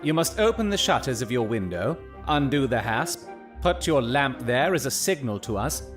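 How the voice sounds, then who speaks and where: An actor playing a coolly analytical Victorian gentleman detective in an audio drama, speaking precisely and formally with dry authority. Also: mid-range voice, sing-song, normal speed, faint music behind, natural voice. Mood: instructing. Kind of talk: period audio drama acting.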